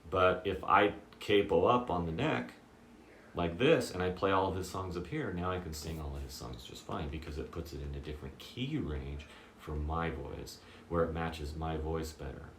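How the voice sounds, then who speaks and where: A man with a very low voice giving a casual guitar lesson, checking in often with "okay?" and "does that make sense?". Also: normal speed, a bit bright, echoey room, slight background noise. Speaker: guitar teacher.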